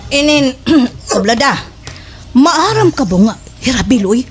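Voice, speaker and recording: A scheming, boastful village gossip played by a woman in a slapstick radio comedy, with a Waray Filipino accent. The voice is high and swings wildly, theatrical and full of exaggerated indignation. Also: animated, a little slow, a little hiss or hum. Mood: indignant, urgent.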